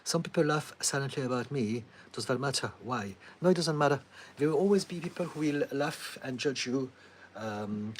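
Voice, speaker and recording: Man, French accent, middle-aged, calm advising tone, medium pitch, faint hiss. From France. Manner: calm, advising, laughs often, many ums. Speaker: therapist answering live chat questions. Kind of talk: livestream Q&A.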